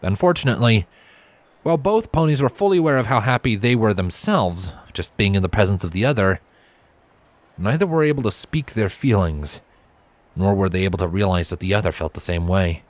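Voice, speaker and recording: A man's voice: on the low side, expressive, a little fast, no echo. A man reading a scripted story aloud as its narrator. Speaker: fan fiction narrator. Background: background music.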